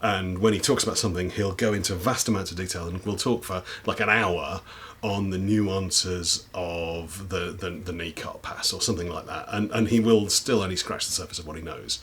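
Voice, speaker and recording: Guy rambling to camera, bit husky, deep voice, faint hiss behind. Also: normal speed, fairly bright tone. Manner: chatty, rambling, self-deprecating with filler ums. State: slightly husky after lost voice. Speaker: YouTuber. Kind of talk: casual recommendation chat to camera. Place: indoors at home desk with big lights.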